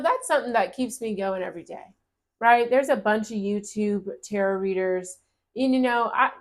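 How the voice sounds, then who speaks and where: Gentle encouraging woman reading tarot. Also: mid-range voice. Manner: gentle, encouraging, reads card text aloud. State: voice getting raspy. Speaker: tarot reader. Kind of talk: casual tarot reading to camera.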